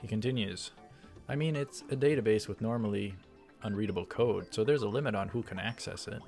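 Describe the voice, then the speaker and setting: Guy doing a visual novel lets play, reading lines out, lowish voice, soft game music. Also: a little fast, creaky. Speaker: let's play host.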